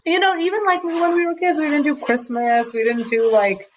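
A young woman being interviewed by her brother. She talks in a halting way, full of "like" and "um" as she hunts for words. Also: high-pitched, steady tone, slight background noise. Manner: halting, filler-heavy, searching for words. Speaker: host's sister, interviewee. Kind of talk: casual interview.

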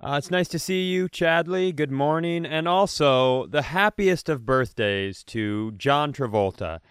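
Deadpan man with a smooth, dry voice. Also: a little high, measured pace, dry recording. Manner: rambling, deadpan, asks offbeat questions. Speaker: podcast co-host. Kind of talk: casual comedic chat.

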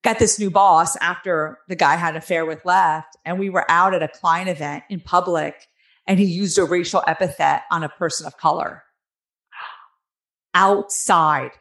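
A middle-aged woman with a New Jersey accent and a raspy, nasal voice, earnestly telling her own story as an interview guest. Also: lowish voice, steady tone, normal speed, fairly bright tone. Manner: earnest, emphatic, with many fillers. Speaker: guest author.

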